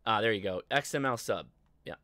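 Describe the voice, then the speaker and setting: An American man in his thirties talking through a casual livestream tutorial, conversational and halting as he thinks aloud. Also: lowish voice, sharp tone, dry recording. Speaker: livestream host and ham radio instructor. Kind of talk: casual live tutorial with chat replies. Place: indoor home studio desk, livestream.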